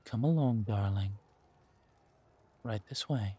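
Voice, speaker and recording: Man playing a slow, commanding villain king. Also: fairly low pitch, mellow, breathy edge. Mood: seductive, commanding. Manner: drawling, theatrical, commanding. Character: gloating, menacing villain usurper king, seductive and commanding. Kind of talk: dramatic roleplay audio acting.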